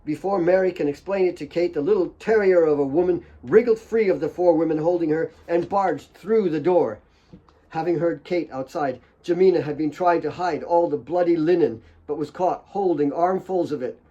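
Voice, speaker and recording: A man's voice: a little high, moderate pace, dark tone, muffled recording. A man reading his own novel aloud as a dramatic audiobook narration.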